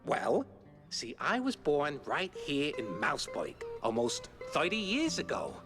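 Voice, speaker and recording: High-pitched shifty cartoon informant. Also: male voice, huge pitch swings, measured pace, fairly bright tone, full character voice. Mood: eager, shifty. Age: young adult. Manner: stammering, obsequious, wheedling. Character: fawning, shifty small-time informant, cartoon rodent around thirty. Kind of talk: 1930s cartoon gangster-film acting. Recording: music behind the voice.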